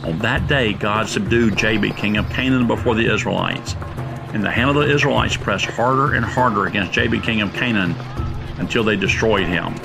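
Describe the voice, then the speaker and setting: A man narrating a scripted Bible story drama in a measured, solemn way. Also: on the low side, creaky, music in the background.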